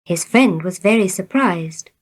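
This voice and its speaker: Animated woman reading a storybook. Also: lowish voice, unhurried. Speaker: story reader. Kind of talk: scripted storybook narration for learners.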